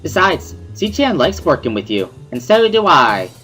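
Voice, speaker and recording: A man voicing a bubbly, playful, energetic teenage schoolgirl in an amateur visual novel reading. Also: a little high, normal speed, voice pushed up, poor audio. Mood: chatty, explaining. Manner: playful, cheery, exclaiming. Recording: music behind the voice.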